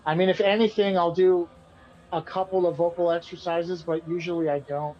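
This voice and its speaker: A man, the band's singer and guitarist, answering interview questions in a relaxed, rambling way and often repeating himself. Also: sits high, normal speed, lo-fi sound. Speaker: band vocalist and guitarist being interviewed.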